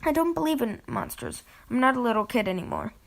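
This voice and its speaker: A woman's voice: on the high side, very animated, fast, creaky. A woman reading the lines of a naive little boy in a zombie costume, in her own scratchy voice.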